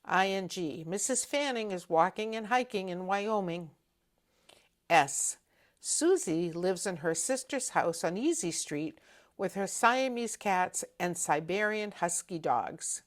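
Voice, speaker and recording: A woman in her seventies with a New England accent, French her first language, answering interview questions in an unhurried, thoughtful way with pauses. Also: medium pitch, lively intonation. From New England, USA. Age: 70s. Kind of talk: reading aloud then answering interview questions.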